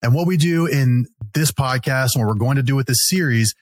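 A man with a Texan accent co-hosting a podcast as an attorney, introducing a guest in a friendly, measured way. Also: mid-range voice. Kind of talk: podcast interview.

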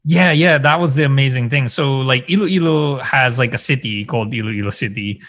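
Bright-voiced man rambling with lots of "like". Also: medium pitch, normal speed, no room sound. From Philippines. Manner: rambling, heavy use of "like" and "um". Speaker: guest. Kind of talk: casual conversation.

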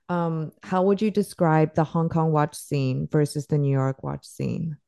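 A woman's voice: deep voice, steady tone, a little slow, dark tone, no echo, clean studio sound. A woman hosting a podcast in a casual, conversational way, with informal phrasing. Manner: informal, peppers talk with "like". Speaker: podcast host.

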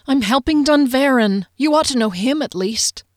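A woman's voice: high voice, lively intonation, slow pace, fairly bright tone, no echo, clean studio sound, voice pushed up, heavily acted. A woman playing a frightened human intruder in a fantasy audiobook, pleading breathlessly in a quavering voice. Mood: pleading, desperate.

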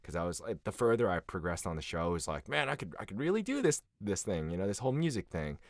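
A young American man, a guest in a casual interview, tells stories with lots of laughter and acts out other people's lines in a higher voice. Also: fast talker. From United States. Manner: animated, laughing storyteller who acts out quotes in a higher voice.

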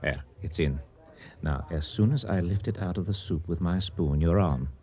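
An actor playing an urbane, scheming restaurateur in a radio comedy, speaking in a formal, wordy, mock-indignant way. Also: male voice, deep voice, normal speed, mellow. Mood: sly, pedantic. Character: urbane, pedantic male restaurateur and scheming accomplice. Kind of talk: radio comedy drama acting.

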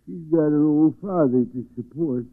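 An actor playing a possessive, uneducated old American father in a 1940s radio drama, halting and defensive. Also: fairly high pitch, no room sound, close to an everyday voice. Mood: sullen, possessive. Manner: halting, defensive, rough grammar. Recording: dry, phone-like old radio recording.

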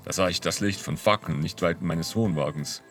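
A man's voice: low-pitched, moderate pace, fairly bright tone, faint music behind. A man playing a spaced-out fifty-year-old hermit who deals dope, rambling matter-of-factly in a comic audio drama. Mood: spaced-out, friendly. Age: 50s. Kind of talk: comedic audio drama acting.

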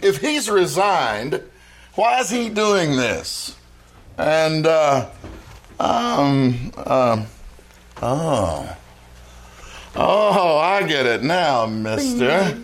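A man's voice: a little high, sing-song, extremely slow, full character voice. A man playing a cagey, indignant reporter, sarcastic and exclamatory, in 1940s radio style. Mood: suspicious, sarcastic.

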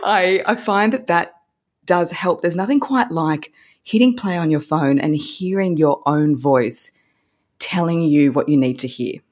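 A woman with an Australian accent, a voice coach explaining confidence tips in a relaxed interview, laughing often as she talks. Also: on the low side, measured pace. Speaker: voice coach guest. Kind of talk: conversational interview.

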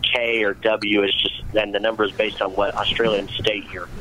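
A man on a radio talk panel, explaining things in a halting, casual way. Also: fairly low pitch, little pitch movement, a crowd in the background, poor audio.

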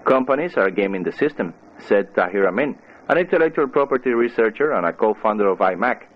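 A man's voice: lowish voice, lively intonation, fairly quick, dull audio. A man reading scripted news as a radio newsreader on a shortwave broadcast.

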